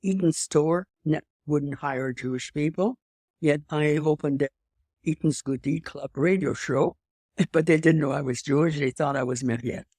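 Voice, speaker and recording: A woman's voice: low-pitched, normal speed, a little mellow, no echo. Woman in her eighties, slight French accent, rambling storyteller, dry close sound. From Europe. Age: 80s. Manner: rambling, with restarts and emphatic asides. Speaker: interview guest. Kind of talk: personal storytelling in conversation.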